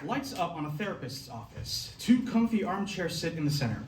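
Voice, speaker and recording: A man's voice: high-pitched, big pitch swings, moderate pace, very noisy. A man reading stage directions in scripted narration, as announcer of a staged musical reading. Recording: echoey room from far off, music.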